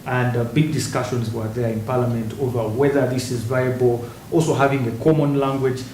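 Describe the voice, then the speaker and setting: A man's voice: medium pitch, level tone, a little fast, darkish tone, recorded from a distance. Man, TV panel host, conversational, repeats words, a bit off-mic. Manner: conversational, repeats words when starting a point. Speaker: TV talk show host. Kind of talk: studio panel discussion. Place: TV studio. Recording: roomy studio, faint hiss, a bit off-mic.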